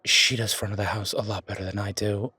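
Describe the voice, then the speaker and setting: A man's voice: lowish voice, little pitch movement, fairly quick, pushed and bright, slightly rough, no echo, exaggerated voice. A man playing an easygoing, affectionate chef in an audio roleplay, talking in a casual, folksy, reassuring way. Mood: restless, friendly. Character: easygoing down-home male chef, affectionate restaurant owner.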